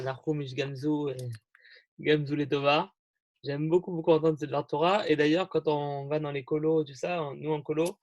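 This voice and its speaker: A young man leads an online group gathering, talking over a video call. His voice sits a little high and the sound is dry and close. He rambles in a friendly way, full of fillers and restarts. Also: no echo. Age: young adult. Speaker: youth group leader hosting an online meeting.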